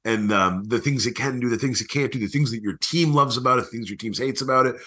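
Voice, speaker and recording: A man's voice: medium pitch, fast, bright, forceful tone, husky. A man hosting a podcast in casual interview chat, talking in an effusive, informal way. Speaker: podcast host.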